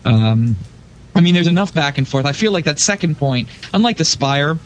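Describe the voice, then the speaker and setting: A man's voice: on the high side, animated, moderate pace. A man speaking as a podcast guest, casually analysing game play in a conversational way.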